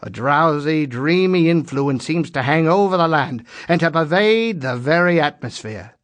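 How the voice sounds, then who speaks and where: A man playing a reflective storyteller in an audio drama, narrating an old country legend with measured, literary diction. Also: a little high, expressive. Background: background music.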